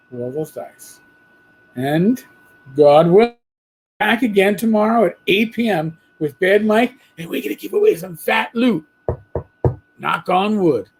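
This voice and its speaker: A man hosting a podcast, talking bluntly and casually with a hoarse voice after losing it. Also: high-pitched, slight background noise, low-quality recording. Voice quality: husky. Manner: blunt, profane, rambling. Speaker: podcast host. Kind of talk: casual monologue.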